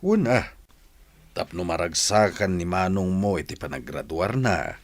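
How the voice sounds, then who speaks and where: A man's voice: low-pitched, big pitch swings, a little slow, full character voice. A man with an Ilocano accent playing a kindly, supportive older uncle in a radio drama. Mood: kind, coaxing. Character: kindly, supportive older uncle raising his nephew and niece.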